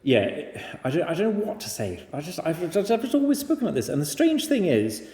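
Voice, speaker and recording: A middle-aged man with an English accent and a soft, mellow tone speaks in a very reverberant room. He is a talkative guest who tells anecdotes casually and pokes fun at himself as he rambles. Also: a little high, huge pitch swings, fairly quick. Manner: rambling, self-deprecating, talkative. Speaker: podcast guest. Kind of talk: casual, anecdotal interview chat.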